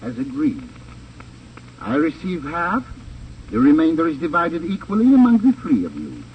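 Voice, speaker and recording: Muffled, hissy old radio audio carries a suave crime boss. His pitch swings extravagantly as he talks with smug, formal condescension and sly relish. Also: huge pitch swings, distant mic. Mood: smug, dismissive. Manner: formal, condescending, drawn-out sly phrasing with chuckles. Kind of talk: 1950 American radio crime drama acting.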